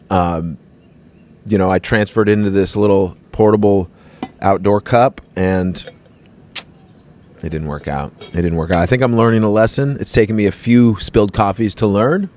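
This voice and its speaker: A man's voice: on the low side, a little mellow. A man hosting his own podcast, talking in a loose, rambling, self-mocking way. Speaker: podcast host. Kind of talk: casual podcast chat.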